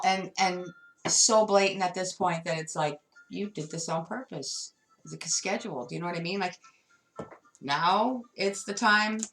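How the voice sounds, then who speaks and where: A woman's voice: mid-range voice, fairly bright tone, rough recording. A woman giving a tarot card reading, talking straight to the viewer with emphatic, repetitive phrasing. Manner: emphatic, repeats words, addresses viewer directly. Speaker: tarot reader.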